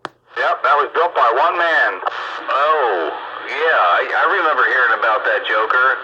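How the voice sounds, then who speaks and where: A man's voice: mid-range voice, lively intonation, unhurried. Man chatting over noisy, dull radio. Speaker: participant in CB radio chat. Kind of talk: casual radio chat with friends.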